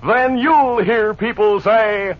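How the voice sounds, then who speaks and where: A man's voice: sits high, slow, noisy background. A man announcing on 1950s radio in an emphatic, formal, salesmanlike way. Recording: dull 1950s radio recording.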